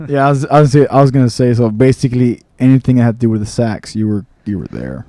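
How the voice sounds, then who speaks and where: A man hosting a casual podcast, chiming in quickly and asking follow-up questions. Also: mid-range voice, dark tone, dry recording.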